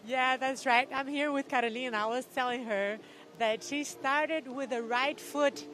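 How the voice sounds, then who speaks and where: A woman's voice: sits high, steady tone, normal speed, a bit bright, slight background noise, rough recording. A woman with a Brazilian Portuguese accent working as a field reporter, asking upbeat, encouraging questions. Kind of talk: on-site interview.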